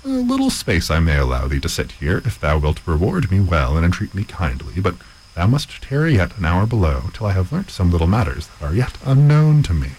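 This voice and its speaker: A man's voice: low-pitched, huge pitch swings, brisk, a little mellow, a little hiss or hum, low-quality recording. A man voicing a crafty male gardener who pretends to be a wise sage, speaking in solemn, old-fashioned phrases. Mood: mock-reluctant. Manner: grand, solemn, archaic speech.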